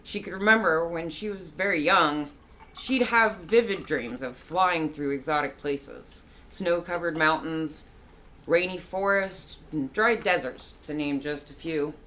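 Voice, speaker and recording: A woman with a low voice, a guest narrator reading a short story aloud in a measured, careful way with small slips. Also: fairly bright tone. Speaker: guest narrator, musician and artist.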